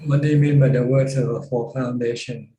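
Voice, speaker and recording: Male monk teacher, dark relaxed tone, patient stepwise question-and-answer explanations with halting repeats, roomy echo. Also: medium pitch. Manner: explains step by step with repetitions and hesitant pauses. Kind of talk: informal live dhamma question-and-answer teaching. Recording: roomy echo, faint background noise.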